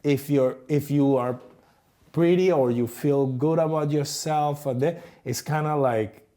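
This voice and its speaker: A man from Latin America with a Latin American Spanish accent, a podcast host talking casually in halting English full of restarts. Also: a little high, warm tone. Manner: affirming, halting with repeated restarts. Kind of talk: casual conversation.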